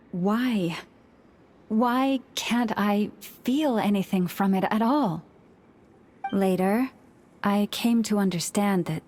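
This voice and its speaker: Game cutscene role: a gentle antlered moon maiden, solemn and formal, very unhurried delivery, mid-pitched voice. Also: female voice. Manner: formal, serene, measured storytelling. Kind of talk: scripted video game cutscene acting.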